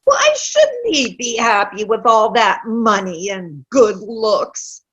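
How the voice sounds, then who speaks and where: A cranky elderly widow in a stage comedy reading, acted by a woman. Her voice is high, sharp and very animated. She complains bluntly, stubborn and dismissive, at an unhurried pace. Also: husky, highs cut off, exaggerated voice. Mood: disapproving. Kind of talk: amateur play reading. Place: online video-call play reading.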